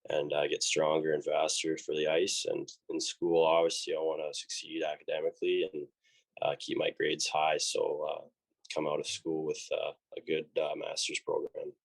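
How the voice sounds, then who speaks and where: A man's voice: very deep voice, monotone, normal speed, vocal fry, poor audio. A young man with a Canadian accent, a college hockey player answering interview questions in a laid-back way, full of fillers and restarts. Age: young adult.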